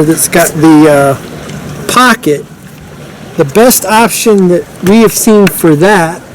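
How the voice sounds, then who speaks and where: A man with a somewhat high-pitched voice walks through a product in a casual, unscripted way. His explanations are loose and informal, with sentences that trail off. There is faint noise behind his voice. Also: low-quality recording. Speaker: product demonstrator.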